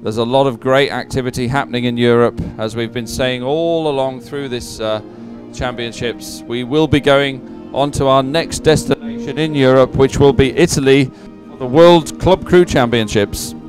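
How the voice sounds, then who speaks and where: A man's voice: mid-range voice, steady tone, normal speed, hardly any pauses, faint music behind. A man commentating live on a sporting event and reading out results in a chatty, ad-libbed way.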